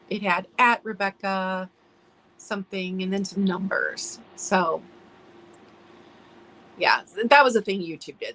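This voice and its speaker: A woman hosting a live online vintage sale in casual chat, a little hoarse from allergies and clearing her throat now and then, greeting viewers by name as she describes items. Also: mid-range voice, fairly bright tone, rough recording. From United States.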